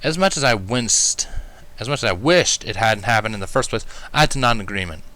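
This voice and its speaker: A man reading a first-person story aloud as an expressive narrator. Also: on the low side, fairly quick. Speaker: fan fiction reader, narrator.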